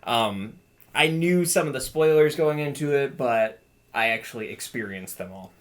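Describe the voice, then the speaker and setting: Excitable man chatting about games. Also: mid-range voice, normal speed. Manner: excited, laughs often, says "like" a lot. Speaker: podcast co-host. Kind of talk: casual chat.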